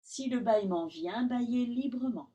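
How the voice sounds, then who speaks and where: A woman yoga teacher guiding a relaxation session in calm, instructional short phrases with long pauses. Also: on the high side, dull audio. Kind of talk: guided Yoga Nidra relaxation.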